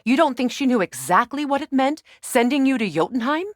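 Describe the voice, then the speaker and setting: An actress playing a proud, blunt noblewoman in a video game, speaking in a measured, pointed manner. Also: high-pitched, big pitch swings, no room sound, clean studio sound. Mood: pointed, grave. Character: blunt, proud noblewoman companion, probing and grave. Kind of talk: video game character dialogue.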